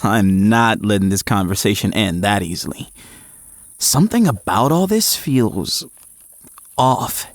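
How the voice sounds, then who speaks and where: Eager young male jock lead, animated and unhurried, for audio drama narration and dialogue. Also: on the low side. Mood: puzzled, eager. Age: young adult. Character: eager, frazzled young male athlete narrating his story.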